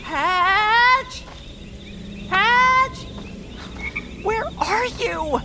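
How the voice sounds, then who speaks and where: A woman's voice: very high voice, animated, extremely slow, heavy background noise, heavily acted. A woman playing a nervous little creature in an audio drama, stammering and anxiously reassuring itself. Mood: panicked calling. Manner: stammering, self-soothing, anxious. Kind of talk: scripted audio fiction acting.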